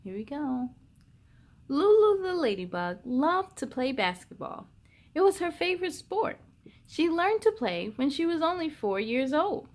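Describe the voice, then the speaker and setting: A woman hosting a story time for children, reading and chatting in an animated, playful way. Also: high voice, unhurried. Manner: animated, exclamatory, playful with sound effects. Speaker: story time host reading picture books. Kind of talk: children's read-aloud storytelling.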